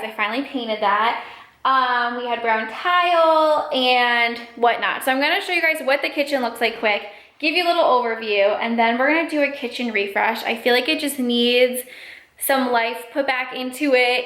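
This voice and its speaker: A woman in her twenties with a cold-roughened voice, chatting casually through a home kitchen makeover vlog. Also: fairly high pitch, a bit bright. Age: 20s. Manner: chatty, self-deprecating, many ums. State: hoarse from a cold. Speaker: home DIY vlogger.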